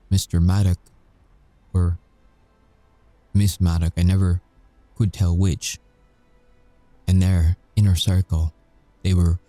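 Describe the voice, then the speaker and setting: A man acts out a brooding, flirtatious werewolf ex-agent for an intimate audio roleplay. His voice is deep and dark, recorded close and dry. He confesses wearily, leaving deliberate pauses between halting phrases. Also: no room sound.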